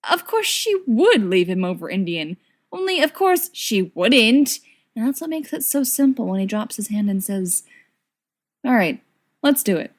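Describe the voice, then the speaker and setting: A woman reading scripted fiction aloud as an expressive narrator. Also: medium pitch. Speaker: podfic reader.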